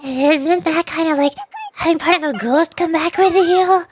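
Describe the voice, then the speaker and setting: A woman voicing a small, curious, excitable fairy companion in a video game, questioning in a childlike way. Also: very high voice, moderate pace, slight background noise.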